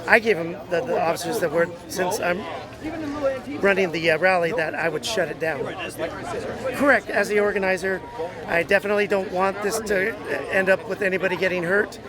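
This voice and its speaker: An American man with a high-pitched voice speaks as an organizer in an outdoor interview. He explains in halting, broken phrases, with a clearly audible crowd in the background. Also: steady tone. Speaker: rally organizer. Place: outdoors at a public rally. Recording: outdoor crowd noise behind voice. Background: crowd chatter.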